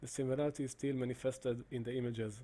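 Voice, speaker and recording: Halting man with a mellow voice. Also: medium pitch, steady tone, slight background noise. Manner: halting, many fillers and restarts. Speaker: presenter demonstrating software. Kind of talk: screen-recorded explanation.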